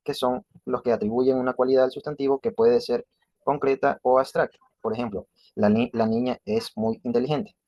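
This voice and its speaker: A man presenting a scripted lesson, reading haltingly and often stumbling and correcting himself. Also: medium pitch, steady tone, dark tone, dry recording.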